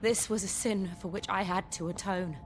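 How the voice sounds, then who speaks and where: A woman acting a grave, devoted noblewoman warrior in a video game cutscene, speaking in a solemn, measured, formal way. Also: medium pitch, fairly even intonation, slight background noise, natural voice. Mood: solemn resolve. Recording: dramatic background music.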